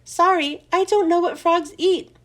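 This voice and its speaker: A woman's voice: very high voice, steady tone, unhurried, voice pushed up. A woman voicing a friendly young male janitor, casual and earnest, in a children's storybook reading. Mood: chummy, earnest. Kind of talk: children's storybook read-aloud.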